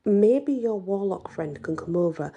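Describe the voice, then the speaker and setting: A woman's voice: mid-range voice, lively intonation, measured pace, mellow, husky. A woman voicing a laid-back, buff male shifter shepherd in a storybook, casual and wry. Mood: casually curious. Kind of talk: acted storybook dialogue.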